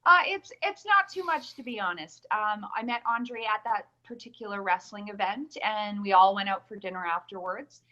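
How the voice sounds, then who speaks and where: Bright-voiced Albertan Canadian woman. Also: medium pitch, moderate pace, hardly any pauses. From Calgary, Alberta, Canada. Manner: chatty, earnest, with frequent ums. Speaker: guest, nurse and pageant winner. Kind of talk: casual interview answers. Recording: dull lo-fi call audio.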